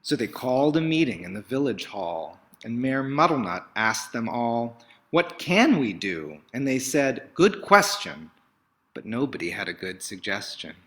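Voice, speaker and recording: Bright-voiced man reading a children's story expressively. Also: medium pitch, animated, moderate pace, few pauses. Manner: theatrical, emphatic delivery with dramatic swells and hushes. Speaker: picture book read-aloud host.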